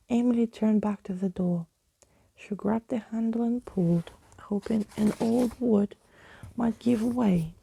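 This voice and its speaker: A woman reading a bedtime story in a soft ASMR whisper, close to the microphone. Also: medium pitch, creaky, husky, no room sound. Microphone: close microphone, dry.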